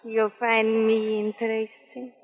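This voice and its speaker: Sultry, guarded femme fatale. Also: female voice, on the high side, completely flat delivery, slow pace. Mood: coy, teasing. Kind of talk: 1940s radio drama acting. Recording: muffled 1940s radio recording.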